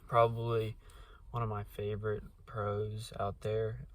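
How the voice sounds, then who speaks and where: Rambling low-voiced young man reviewing a book. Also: monotone, unhurried. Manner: rambling, many fillers and restarts. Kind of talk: casual spoken book review. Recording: distant mic with faint background noise.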